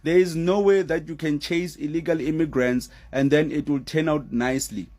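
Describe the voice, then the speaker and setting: A man giving casual, animated commentary on a news story, laughing often and using many fillers. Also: a little high, moderate pace.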